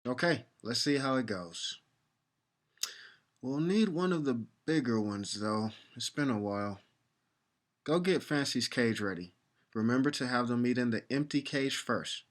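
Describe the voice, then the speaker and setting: Man playing an easygoing dad, medium-pitched voice. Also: expressive, a little slow, natural voice. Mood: practical. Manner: patient, gently questioning. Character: easygoing, kindly father of a young girl. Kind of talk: audio drama dialogue.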